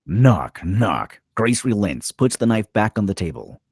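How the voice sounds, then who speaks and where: A man's voice: fairly low pitch, very animated, measured pace, vocal fry, a little mellow, breathy edge, no echo, clean studio sound. A man narrating stage directions dramatically at a screenplay table read.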